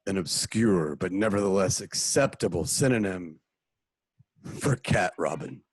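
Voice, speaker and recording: An actor playing a stern, formal caped crime-fighter in an amateur radio play. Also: male voice, low voice, lively intonation, measured pace, husky, no room sound, full character voice. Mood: earnest.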